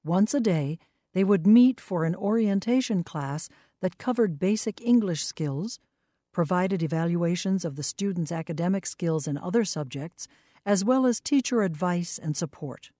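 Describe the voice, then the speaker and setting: Woman, documentary narrator, mellow and low, dry studio read, even pacing. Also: no echo. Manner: measured, even delivery. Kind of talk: scripted narration.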